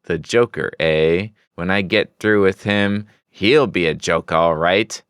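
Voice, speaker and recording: A man's voice: low-pitched, slow, fairly bright tone, clean tone, no room sound, clean studio sound. A man voicing a blustering, tough gangster boss from an old comic book. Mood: menacing.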